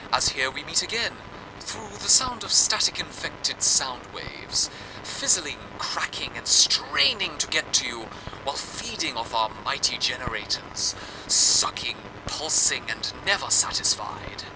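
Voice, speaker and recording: Grandiose genteel radio host played by a man, fairly high voice, lively swooping intonation, florid old-time broadcast delivery. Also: background noise. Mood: grand, dramatic. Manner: florid, dramatic, deliberate phrasing. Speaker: fictional radio show host.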